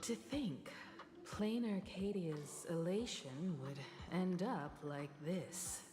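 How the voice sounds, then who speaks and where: A woman voicing a calm, wistful mature woman in a video game, measured and reflective. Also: on the low side. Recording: reverberant game audio with music.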